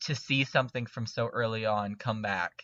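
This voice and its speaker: A man's voice: fairly low pitch, steady tone, moderate pace, sharp tone, no echo, muffled recording. A man chatting casually and enthusiastically as a panelist in a fan discussion. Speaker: podcast panelist.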